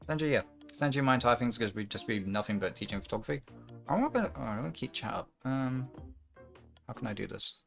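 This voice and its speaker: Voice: medium pitch, animated, fast, gravelly, faint music behind. A young adult livestream host chatting casually and candidly, with frequent swearing.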